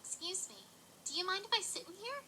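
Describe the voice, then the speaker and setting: A woman's voice: extremely high pitch, quick pace, bright, forceful tone, exaggerated voice. A woman playing an earnest, inquisitive young pony princess in an audio drama, polite and bookish, with music behind her. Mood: subdued, curious. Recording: music behind, played in reaction video.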